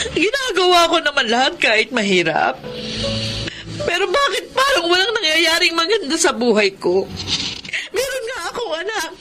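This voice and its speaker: A hardworking Filipino single mother in a radio-style drama. Her voice is high and swings widely, plain-spoken and full of feeling, with music clearly underneath. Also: full character voice. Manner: emotional, expressive, plain-spoken. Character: hardworking, hurt single mother of a teenage son. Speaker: actor in a drama.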